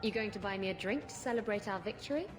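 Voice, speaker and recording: An actress playing a brash, flirtatious pirate captain in a video game cutscene, teasing and sardonic. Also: female voice, mid-range voice, quick pace, music in the background, lightly acted. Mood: playful, teasing.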